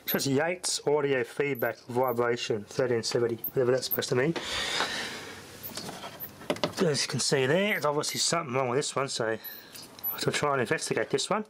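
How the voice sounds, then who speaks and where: A man's voice: medium pitch, fast. A man giving casual, unscripted commentary as he tests equipment hands-on, in an offhand, half-to-himself way. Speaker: hobbyist demonstrating radio equipment. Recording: loud ambient noise throughout.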